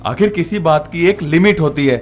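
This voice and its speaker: A man's voice: mid-range voice, moderate pace, soft background music, lightly acted. An actor playing an earnest, protective young man in love, in a melodramatic story drama. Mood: indignant. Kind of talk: melodramatic Hindi story dialogue.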